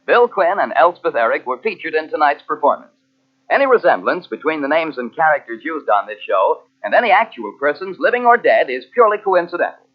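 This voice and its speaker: A man's voice: on the high side, big pitch swings, a little fast. A man announcing on 1940s radio in a punchy, formal promotional style. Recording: muffled old radio recording.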